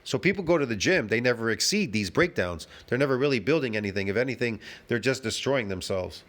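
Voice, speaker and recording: A man's voice: medium pitch, animated, quick pace, a bit bright, slight background noise. A man giving a ranting fitness commentary, emphatic and repetitive, full of restarts and rhetorical questions.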